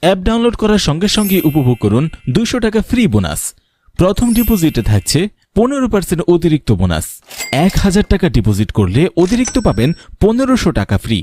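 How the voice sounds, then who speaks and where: A man's voice: sits high, huge pitch swings, fast, a little mellow. A man reading a scripted promotional advertisement as an announcer. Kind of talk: promotional ad read.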